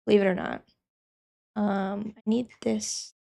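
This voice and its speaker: A woman's voice: medium pitch, flat delivery, unhurried, creaky, mellow. A young woman streamer who is sick and sniffling, chatting casually with her chat and thinking aloud as she works.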